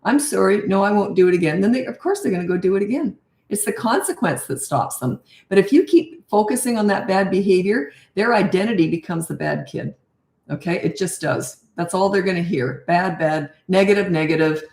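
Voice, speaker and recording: Older lady with a raspy voice chatting on a live stream, blunt, plain middle pitch, echoey. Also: brisk, darkish tone. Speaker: parenting coach.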